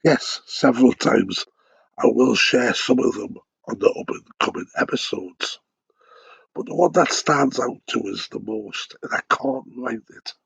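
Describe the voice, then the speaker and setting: A raspy, gravelly man talks through a head cold, sounding croaky and nasal. He chats loosely, with ums and false starts. The recording is dry and a little dull. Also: mid-range voice, no echo. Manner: chatty, conversational, with ums and restarts. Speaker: podcast host and paranormal investigator. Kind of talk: solo podcast monologue. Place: at home in bed.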